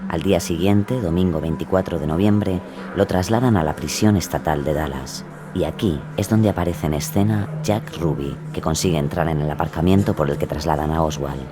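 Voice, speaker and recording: A man's voice: deep voice, fairly quick, music in the background. A man reading scripted, present-tense storytelling narration as the narrator of a dramatized documentary.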